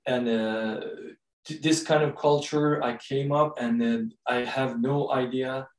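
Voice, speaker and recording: A man from Turkey with a Turkish accent, speaking English with many pauses and fillers as a guest on a podcast interview. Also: mid-range voice, fairly even intonation, roomy sound, distant mic, low-quality recording. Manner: halting, many fillers, searching for words. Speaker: guest, co-author and professor.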